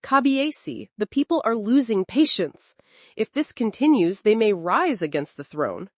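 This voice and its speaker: A woman's voice: mid-range voice, big pitch swings, a little slow, no room sound. A woman voicing a worried male village chief in a folktale, respectful yet urgent, with a careful, pleading manner. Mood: warning.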